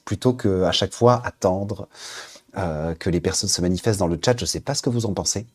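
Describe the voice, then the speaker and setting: A man in his own casual live stream, with a low, husky voice that sits dark and mellow. He talks to his chat loosely, reading their names aloud and stumbling through many hesitations as he lines up their tracks. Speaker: Twitch stream host.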